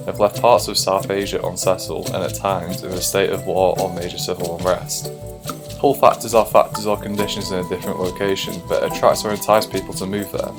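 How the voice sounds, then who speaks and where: A man narrating a documentary in a steady, explanatory scripted delivery. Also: low-pitched. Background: guitar music.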